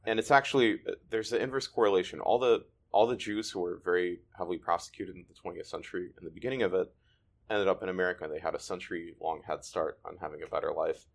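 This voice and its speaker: A man born in Ukraine whose first language is Russian, a guest telling his family history in a thoughtful, halting way. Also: deep voice, fairly even intonation, gravelly. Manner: thoughtful, restarts often, halting. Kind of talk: conversational interview.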